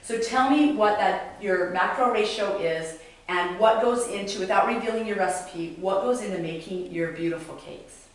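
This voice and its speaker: A woman's voice: medium pitch, normal speed. Female interviewer, chatty and enthusiastic, mid-range voice, recorded off-mic in a very reverberant room. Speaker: host interviewing guests. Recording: very echoey room, distant mic.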